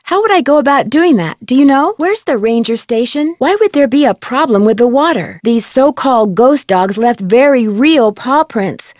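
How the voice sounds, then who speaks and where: A young American woman playing a curious, polite, plucky amateur detective in an adventure game, speaking in a clear, earnest way. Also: sits high, lively intonation, moderate pace, few pauses, a little mellow, no echo, studio quality. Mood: curious, friendly. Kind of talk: scripted adventure game voice acting.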